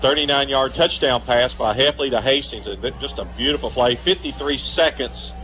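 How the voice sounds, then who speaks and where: A man's voice: mid-range voice, moderate pace, bright, forceful tone, faint music behind, poor audio. An American man giving live color commentary on a football game, analyzing plays in a conversational way. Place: live at a high school football stadium.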